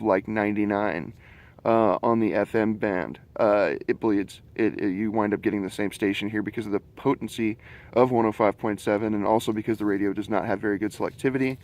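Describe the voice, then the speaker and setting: A man with an average-pitched voice presents a gadget review. He speaks casually and chattily to his viewers. His explanations are informal and tend to ramble into detail. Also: darkish tone.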